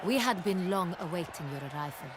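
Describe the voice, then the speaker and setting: An actress playing an effusive, imperious duchess in a video game, speaking grandly. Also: female voice, fairly low pitch, expressive, fairly quick, lightly acted. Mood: delighted, imperious. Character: regal duchess, adult woman, effusive and commanding. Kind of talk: video game dialogue acting. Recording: noisy crowd ambience behind.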